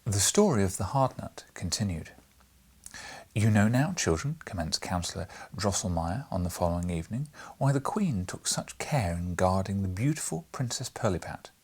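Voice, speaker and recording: A man reading a children's classic aloud as an audiobook narrator, measured and dramatic in his storytelling. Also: low voice, expressive, breathy edge.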